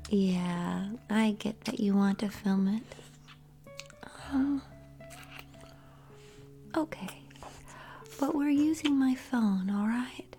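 A woman acting an affectionate, aroused lover in an intimate adult audio roleplay, speaking in a breathy, halting whisper with sighs between phrases. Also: mid-range voice, warm tone, soft background music. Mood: playful, aroused. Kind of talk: intimate erotic audio roleplay addressed to the listener.

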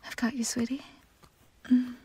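A woman speaking in a soft, breathy whisper in an intimate roleplay style, slow and soothing. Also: fairly high pitch, level tone.

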